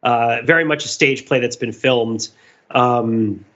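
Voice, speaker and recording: Male podcast co-host, bright forceful voice, rambling enthusiastic explainer, slightly dull recording. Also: medium pitch, slow. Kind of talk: casual analytical discussion.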